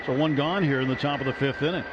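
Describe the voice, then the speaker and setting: A conversational male baseball announcer who chats between pitches on a radio broadcast. The sound is a bit dull, with clear ballpark noise underneath. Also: fast.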